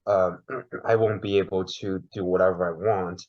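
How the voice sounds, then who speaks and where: A man's voice: low voice, moderate pace, muffled recording. Man with a Mandarin Chinese accent. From China. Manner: lively, searching for words. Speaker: podcast guest. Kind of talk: casual interview chat.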